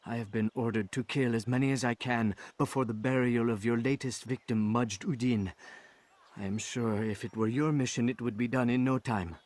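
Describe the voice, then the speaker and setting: A man's voice: mid-range voice, normal speed, dry recording, lightly acted. Man as a reverent, eager assassin informant. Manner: reverent, flattering, formal.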